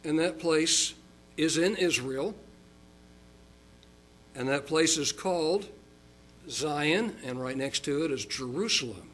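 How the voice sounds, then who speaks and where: Folksy older Oklahoma pastor. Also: male voice, lively intonation, fairly bright tone, slight background noise. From Oklahoma, United States. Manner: folksy and chatty, with chuckles and fillers; slows and rises in pitch for emphasis. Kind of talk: sermon.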